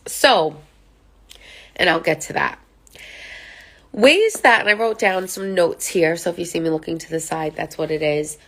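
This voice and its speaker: A woman's voice: sits low, a bit bright. A woman who counsels on mental health, talking casually to camera from notes. She sounds nasal from a sinus infection and often checks in with "right?" and "okay?". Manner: conversational, many fillers and tag questions. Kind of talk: informal talk to camera from notes.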